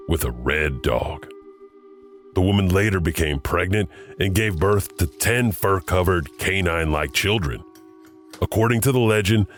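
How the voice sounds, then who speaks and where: A man narrating legends in a measured, storytelling manner, with faint music behind. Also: sits low. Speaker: narrator host. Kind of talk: scripted horror narration.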